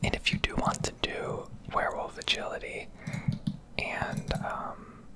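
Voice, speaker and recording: A woman doing ASMR commentary over gameplay, rambling and explaining in a whisper at a close microphone. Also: a little slow. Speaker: gaming video creator.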